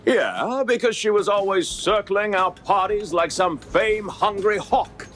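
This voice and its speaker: An actor playing a testy, self-important political insider in a noir cartoon game, brusque and condescending in a 1930s manner. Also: male voice, high voice, measured pace, a bit bright, soft background music. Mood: defensive, brusque.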